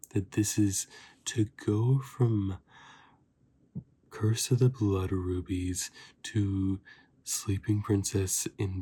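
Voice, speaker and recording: A man giving a softly spoken ASMR review, hushed and sometimes slipping into whispers. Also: on the low side, slightly rough. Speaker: host of an ASMR review channel.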